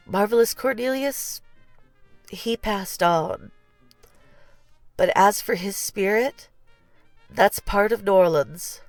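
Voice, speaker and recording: A woman reading a children's picture book aloud as scripted narration, with measured, deliberate phrasing and pauses. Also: mid-range voice, no room sound. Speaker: story reader.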